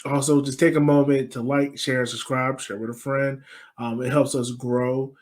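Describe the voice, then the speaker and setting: A man's voice: medium pitch, level tone, moderate pace, no echo. A man hosting a casual podcast interview, talking informally and thinking aloud as he frames his questions. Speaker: podcast host.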